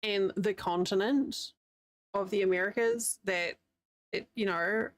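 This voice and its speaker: A woman's voice: mid-range voice, fairly even intonation, a little slow, dry recording. A woman from New Zealand with a New Zealand accent, chatting casually with her live chat while she crochets, rambling and laughing often. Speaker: streamer. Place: home, living in the United States.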